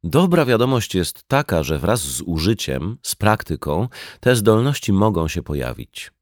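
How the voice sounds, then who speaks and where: Low-voiced man narrating an audiobook. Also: sing-song, a little slow, no echo, studio quality. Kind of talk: scripted nonfiction audiobook narration.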